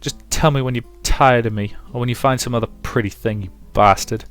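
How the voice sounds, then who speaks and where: Guy doing bitter hurt girlfriend in a visual novel, sharp and accusing, music behind. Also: mid-range voice, fairly even intonation, fairly quick, lightly acted. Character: angry, bitter young woman lover, accusing and hurt. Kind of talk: visual novel character reading.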